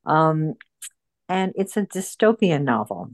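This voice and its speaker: A woman hosting an informal book group discussion, speaking haltingly and often repeating her phrases. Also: low voice, very animated.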